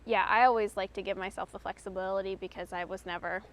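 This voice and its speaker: A woman in her thirties from Maryland, a fiber artist explaining her craft in a relaxed, chatty way with frequent ums. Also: medium pitch, fast, a little hiss or hum. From Maryland, USA. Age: 30s.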